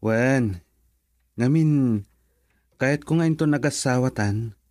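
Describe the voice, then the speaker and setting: A man's voice: medium pitch, takes it slowly, darkish tone, dry recording, studio quality, lightly acted. A man with a Filipino accent acting in a radio drama as an earnest son in love, eager to marry. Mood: affectionate, teasing. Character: adult son in love, eager to marry, reassuring his mother.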